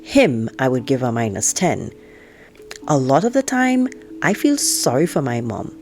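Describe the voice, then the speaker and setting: A woman's voice: extremely low pitch, very animated, measured pace, darkish tone, faint music behind, heavily acted. A woman reading a storybook aloud as an outspoken, indignant teenage girl, in a frank, conversational and sarcastic manner. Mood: frank, musing. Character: outspoken, indignant teenage girl narrating her family life. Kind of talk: first-person audiobook read-aloud.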